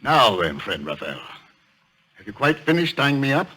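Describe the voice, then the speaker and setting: An actor playing a blunt, sceptical solicitor in an old radio thriller, brusque, dry and challenging. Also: male voice, medium pitch, sing-song, normal speed, sharp tone. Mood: commanding. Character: blunt, sceptical solicitor, stubborn plain common-sense man.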